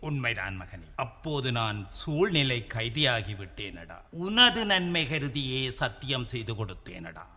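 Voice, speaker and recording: A man with a Sri Lankan Tamil accent playing an anguished, fatherly royal elder in a radio drama, speaking in solemn, declamatory, drawn-out phrases. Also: mid-range voice, sing-song, sharp tone, heavily acted. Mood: regretful. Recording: long hall-like reverb.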